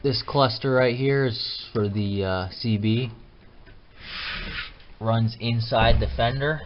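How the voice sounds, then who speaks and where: A man's voice: mid-range voice, slow pace. A man giving a casual, plainspoken how-to narration of his own DIY work, talking to viewers informally. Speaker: DIY truck restorer hosting his build videos. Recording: dull lo-fi camera audio, light noise.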